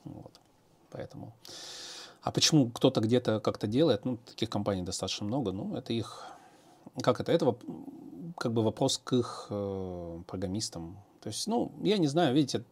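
A man's voice: lowish voice, very animated, moderate pace, darkish tone, slightly rough. A man, a programmer, answering viewer questions in a casual, hesitant monologue with many fillers and restarts.

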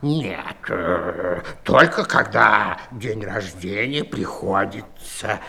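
An actor playing a courteous, fussy, grumbly bear gatekeeper in a children's audio play. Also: male voice, fairly low pitch, lively intonation, creaky, pushed and bright, husky, full character voice. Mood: busy, brisk. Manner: polite, unhurried, grumbly, humming hesitations.

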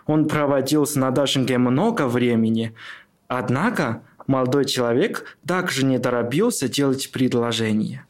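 A man narrates a literary radio play. His voice sits at a middle pitch as he reads the scripted storytelling passages. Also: animated, moderate pace.

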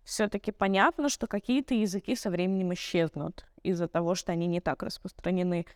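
Smooth-voiced woman, native Tatar speaker, in conversation. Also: fairly low pitch, brisk, no room sound, clean studio sound. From Tatarstan, Russia. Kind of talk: conversational discussion.

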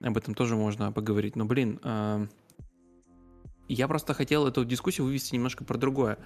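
A man's voice: mid-range voice, flat delivery, a little fast, darkish tone, soft background music. A man telling stories in a casual conversational style, often searching for words and correcting himself as he goes. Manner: hesitant, searching for words, with repeated self-corrections.

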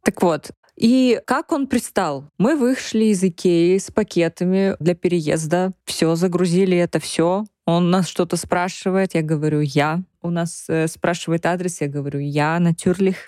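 A woman's voice: lowish voice, moderate pace, hardly any pauses, no echo, studio quality. A woman co-hosting a podcast, chatting casually and telling stories with frequent laughter. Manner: lively storyteller, laughs often. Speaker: podcast co-host.

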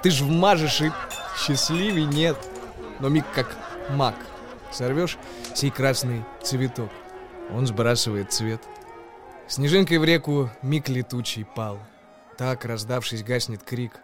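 A man, an actor, narrating a tale in verse for a radio play in an expressive, declamatory way. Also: medium pitch, slow, music in the background.

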